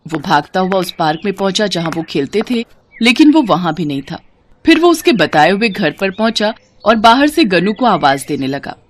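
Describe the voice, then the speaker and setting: Expressive woman storybook narrator. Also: mid-range voice, normal speed.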